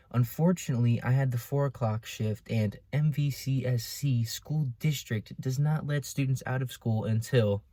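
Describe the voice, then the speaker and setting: A man's voice: medium pitch, warm tone, dry recording. Young American guy telling a story, dry sound. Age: young adult. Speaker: vlogger. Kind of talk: casual personal storytelling. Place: inside a car.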